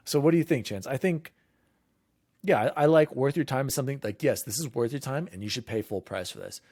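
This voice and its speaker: A man's voice: mid-range voice, fairly quick, darkish tone, dry recording, studio quality. A man hosting a casual gaming podcast, talking loosely and laughing easily. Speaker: podcast host.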